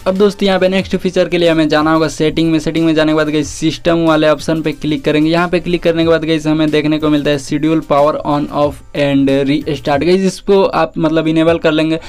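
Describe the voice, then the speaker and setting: A man's voice: fairly high pitch, level tone, fairly quick, few pauses, darkish tone, clean tone, soft background music. An Indian man giving a casual phone tutorial as a tech host, explaining in an instructional, repetitive way with English words mixed in.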